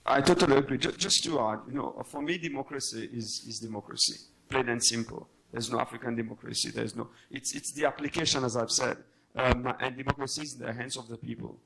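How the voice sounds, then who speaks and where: A man speaking as a journalist on a debate panel, halting but emphatic in his unscripted remarks. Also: medium pitch, fairly even intonation, vocal fry, a bit bright, a little hiss or hum, rough recording.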